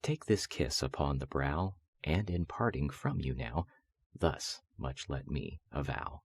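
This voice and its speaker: A man with an extremely low, husky voice reads gothic verse aloud. His delivery is solemn and measured, with theatrical phrasing suited to bedtime listening. The sound is close and dry. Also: lively intonation, dry recording. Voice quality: husky, very deep voice. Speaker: voice-over artist reading poetry. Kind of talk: dramatic recitation of gothic poetry for sleep and relaxation.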